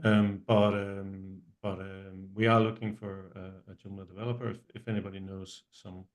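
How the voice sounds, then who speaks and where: A middle-aged man from Denmark with a fairly low voice, introducing his company on a video call. He speaks English as a second language, pausing often with ums and restarting his sentences, his voice sometimes trailing off softly. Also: flat delivery. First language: Danish. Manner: halting, many ums and restarts, trailing off quietly. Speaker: web agency owner, guest speaker. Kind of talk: virtual meetup self-introduction.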